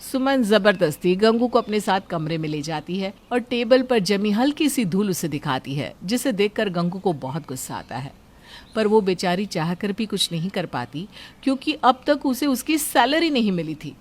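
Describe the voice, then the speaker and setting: A woman narrates a story from a script. Her voice sits at a middle pitch, and she delivers it as a storyteller. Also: animated, moderate pace, darkish tone.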